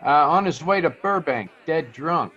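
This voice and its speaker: A man's voice: a little high, expressive, a little slow, fairly bright tone, highs cut off. A man playing a sly young American bellhop, hesitant and slangy, in an old-time radio detective drama. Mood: sly, chummy. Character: shifty young male bellhop hustling for tips.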